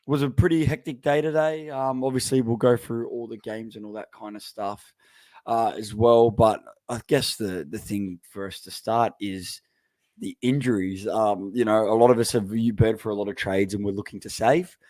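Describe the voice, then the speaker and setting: A man co-hosting a casual sports podcast, talking in a relaxed, rambling way with many fillers. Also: on the low side, moderate pace, no echo. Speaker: podcast co-host.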